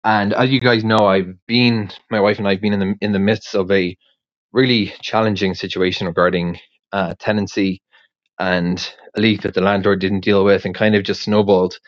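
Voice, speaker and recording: A native English-speaking man thinks aloud in a casual, rambling voice memo. His fairly low voice is hesitant and often doubles back on itself. The recording is dry and slightly muffled, as from a small recorder. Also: level tone, dry recording. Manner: hesitant, halting, circling back and repeating words. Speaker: podcast creator sending in a question.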